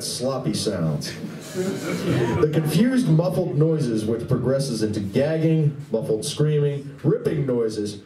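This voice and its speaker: A man's voice: mid-range voice, dark tone. A man reading scripted narration for a live radio play, recorded in a room. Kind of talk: scripted stage-direction narration. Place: live convention performance room. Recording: echoey room, distant mic, faint noise.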